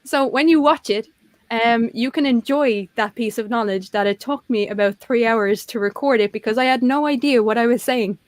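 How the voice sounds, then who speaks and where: Chatty middle-aged woman, slightly high, dry sound. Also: moderate pace, no echo. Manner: rambling, self-deprecating, laughs often. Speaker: co-host of a livestream talk show. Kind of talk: casual chat.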